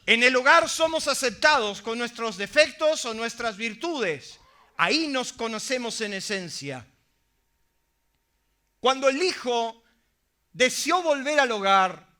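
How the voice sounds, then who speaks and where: Bright high-voiced preacher man. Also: lively intonation, a little slow. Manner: emphatic preaching, asks the audience rhetorical questions. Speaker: pastor. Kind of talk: sermon.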